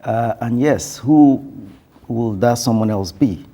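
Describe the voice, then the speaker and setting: A man speaking on a television panel, thoughtful and halting, with many fillers and restarts. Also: medium pitch, warm tone. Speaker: panel guest. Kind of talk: panel discussion.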